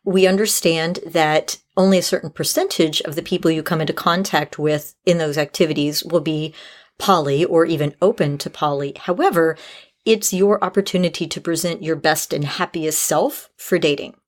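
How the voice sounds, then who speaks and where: A woman's voice: low voice, steady tone, normal speed. A woman hosting an advice podcast, talking casually but in a frank, articulate, organized way. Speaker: podcast host answering listener questions.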